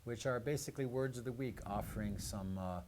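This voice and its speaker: A man hosting a live radio and streaming show, speaking off the cuff in a halting way with frequent pauses. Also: medium pitch, darkish tone, slight background noise. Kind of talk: unscripted show introduction.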